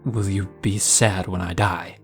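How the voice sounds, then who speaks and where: A man's voice: sits low, fairly even intonation, measured pace, vocal fry, faint music behind. A man playing an earnest, tentative young male mage in an audio drama. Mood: tentative, hurt. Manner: tentative, probing.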